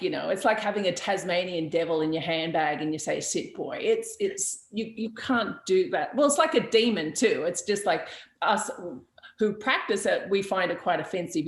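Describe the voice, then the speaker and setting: A woman hosting a witchcraft talk show, answering viewer questions in a blunt, chatty way with frequent laughs, fillers and restarts. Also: on the low side. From Australia. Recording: roomy echo.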